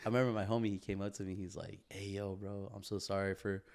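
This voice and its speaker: A man's voice: lowish voice, little pitch movement, quick pace, vocal fry, darkish tone. A young man chatting casually on a podcast, rambling and swearing, with plenty of bro and dude. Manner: slangy, profane, rambling, says bro and dude often. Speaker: podcast co-host.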